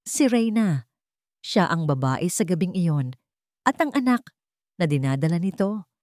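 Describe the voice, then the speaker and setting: A woman's voice: fairly low pitch, expressive, a little slow, a little mellow, no echo, studio quality. A woman with a Filipino accent narrating a scripted dramatic story.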